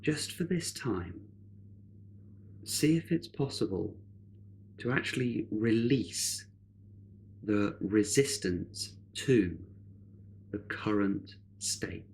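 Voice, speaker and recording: Male meditation guide: fairly low voice, very slow and measured delivery, light ambient noise behind. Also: animated, gravelly, darkish tone. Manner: measured, unhurried, with long pauses.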